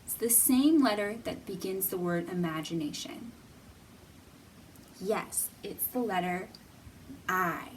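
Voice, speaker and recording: A woman hosting a children's storytime, speaking in simple, deliberate, teacherly phrases with pauses and questions for young viewers. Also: mid-range voice, distant mic, slight background noise, poor audio. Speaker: children's library storytime host.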